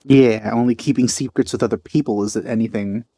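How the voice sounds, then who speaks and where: A man's voice: medium pitch, fairly even intonation, brisk, mellow, no room sound, clean studio sound. A man giving casual, musing let's play commentary on a video game, with empathy. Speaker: let's player.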